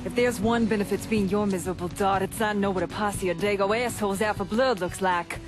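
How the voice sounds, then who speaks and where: A woman playing a sharp-tongued, resentful gangster's accomplice in a game, brisk and caustic. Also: medium pitch, steady tone, music in the background, natural voice. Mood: caustic, urgent. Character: sharp-tongued, resentful young gangland accomplice of Irish boss. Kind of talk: game cutscene acting.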